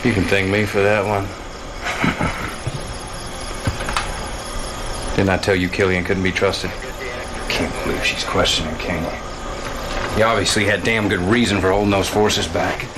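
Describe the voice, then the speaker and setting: A brash army field officer for a game cutscene, eager and slangy. His voice sits low, with clear vehicle and ambient noise behind it. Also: steady tone, takes it slowly, pauses often. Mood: smug, eager. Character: cocky, gung-ho male military field officer, brash and eager. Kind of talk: live-action game cutscene acting.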